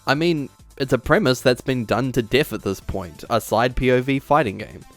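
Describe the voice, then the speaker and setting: A man's voice: mid-range voice, normal speed, faint music behind. A man presenting an opinionated video essay in a casual way, partly scripted, as the host. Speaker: YouTube host.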